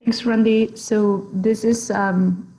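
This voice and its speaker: A woman's voice: medium pitch, fairly even intonation, slow pace, dark tone, poor audio. A woman researcher and consultant presenting in an online webinar, hesitant, with many fillers. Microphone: video call.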